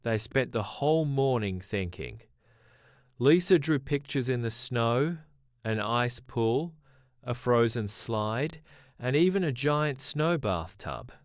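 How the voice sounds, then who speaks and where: Slow, gentle bedtime storyteller man. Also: medium pitch, animated, dry recording, studio quality. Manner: gentle, measured. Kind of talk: scripted bedtime story narration.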